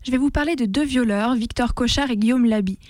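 A woman's voice: fairly high pitch, brisk, darkish tone, no room sound, clean studio sound. A woman presenting a scripted political chronicle on the radio in a militant, pointed manner.

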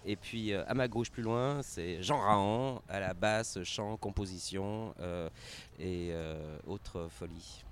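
A man's voice: low voice, slow, slight background noise. A man, a rock musician talking in an interview, hesitant and trailing off. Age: 60s. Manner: hesitant, many fillers, trailing off. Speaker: rock band member. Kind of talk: casual interview chat.

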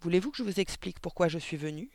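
A man's voice: high-pitched, expressive, rapid-fire, a little mellow, dry recording, pitched above the performer's own voice. A man voicing a young woman schoolteacher in a storybook, polite, articulate and insistent. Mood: composed.

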